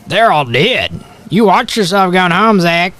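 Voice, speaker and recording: An actor playing a chatty, ominous small-town sheriff in a horror audio drama, with a folksy, hesitant drawl. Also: male voice, high-pitched, expressive, unhurried, a bit bright. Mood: ominous warning.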